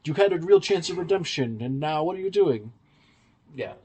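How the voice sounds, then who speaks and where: A man's voice: fairly high pitch, lively intonation, normal speed, mellow, slightly rough. A man hosting a review podcast, explaining things in a casual, conversational way. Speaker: podcast host reviewing audio dramas.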